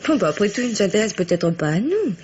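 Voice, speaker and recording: An actress in an old radio play, playing an affectionate, inquisitive young woman, the doctor's daughter, with a husky, breathy voice and an earnest manner. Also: mid-range voice, big pitch swings, fast, a little mellow, a little hiss or hum, natural voice. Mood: worried, pleading. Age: young adult. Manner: earnest, questioning. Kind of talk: old French radio drama acting. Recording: dull, lo-fi old radio recording.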